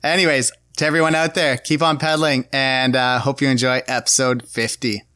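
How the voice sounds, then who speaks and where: A man's voice: medium pitch, flat delivery, fairly quick, bright, forceful tone, clear, resonant tone, dry recording. A man co-hosting a casual podcast, upbeat as he runs through announcements. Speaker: podcast co-host.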